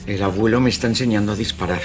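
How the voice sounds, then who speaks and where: Casting: man as sullen, stubborn teenage boy for audio drama, curt and quietly menacing, music behind. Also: fairly low pitch, rapid-fire, lightly acted. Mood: sullen, guarded. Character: sullen, defiant fifteen-year-old grandson, quietly menacing.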